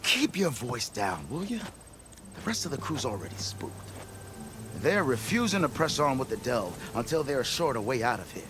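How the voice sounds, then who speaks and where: An actor playing a cheerful, boastful expedition leader in a video game, florid and flattering, breaking into sudden laughs. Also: male voice, mid-range voice, normal speed, background noise, natural voice. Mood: coaxing. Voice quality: theatrical, florid. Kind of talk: video game cutscene acting.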